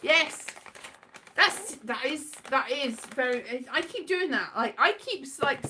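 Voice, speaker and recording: A middle-aged woman from Wales with a bright, fairly high voice talks off the cuff. Her pitch swings about freely as she drifts from one thought to the next, muttering little asides to herself in a scatty, casual monologue. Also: animated, takes it slowly, slight background noise. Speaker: writer and performer, host.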